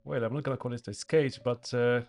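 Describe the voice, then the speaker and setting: A man in his thirties with a Moroccan accent, a hotel manager speaking calmly as a guest on a podcast, pausing often to think. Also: medium pitch, gravelly, soft background music. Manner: calm, measured, many hesitations. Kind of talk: casual interview chat. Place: small podcast studio with paneled walls. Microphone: studio mic on boom arm, headphones.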